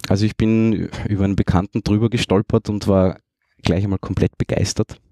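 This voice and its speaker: A man's voice: lowish voice, fairly even intonation, fairly quick, warm tone, no echo, studio quality. A man hosting a podcast interview, asking questions in a loose, hesitant way with many fillers. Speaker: podcast host.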